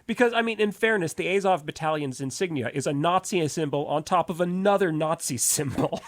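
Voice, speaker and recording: A man's voice: on the high side, brisk, slight background noise. A man in a casual political radio discussion, speaking emphatically and bluntly. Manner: animated, emphatic, blunt opinions. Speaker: radio discussion guest or co-host. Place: radio studio.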